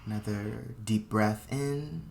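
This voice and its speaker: A meditation guide speaking soothingly and unhurriedly in short phrases with long pauses, leading a guided meditation. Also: mid-range voice, vocal fry, heavy background noise.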